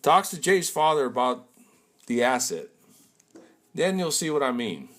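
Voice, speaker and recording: A young man playing a shady older businessman on a phone call, speaking in a low, clipped way. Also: big pitch swings, a little slow, fairly bright tone. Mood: cool. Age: young adult.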